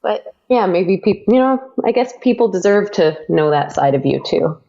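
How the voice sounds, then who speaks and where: Woman guest on a podcast, kinda fry-y voice, lots of um and like, a bit muffled. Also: mid-range voice, lively intonation, moderate pace, dark tone. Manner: chatty, frequent fillers and restarts. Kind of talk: casual interview chat.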